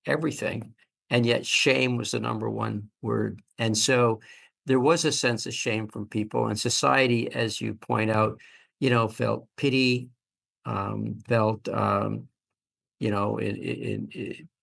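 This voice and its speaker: A man in his seventies answering as an interview guest, speaking conversationally and haltingly, often repeating words as he reflects. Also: on the low side, fairly even intonation, creaky, fairly bright tone. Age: 70s. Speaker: fertility doctor, interview guest.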